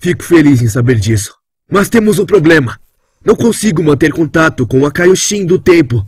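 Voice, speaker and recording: A man's voice: a little high, lively intonation, normal speed, a little mellow, husky. A man with a Brazilian accent playing a serious, polite young warrior in an anime fan dub. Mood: serious concern.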